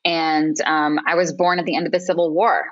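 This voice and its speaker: A young woman from Lebanon talks freely as a guest in a video call interview, circling back with fillers and asides. Her voice sits very low, and the dull, lo-fi call audio makes it sound dry and close. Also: level tone, a little fast, no room sound. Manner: chatty, with frequent fillers and asides. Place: home room with window.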